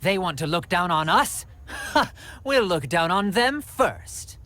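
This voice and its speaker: A man's voice: high voice, animated, slow pace, pushed and bright, full character voice. A wry, teasing young man. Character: joking, sarcastic young man. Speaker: game character. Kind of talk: video game voice acting.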